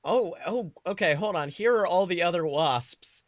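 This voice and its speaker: A man co-hosting a casual movie review chat, talking in an excitable, slangy way with casual swearing. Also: high voice, normal speed, no room sound, studio quality. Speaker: podcast co-host.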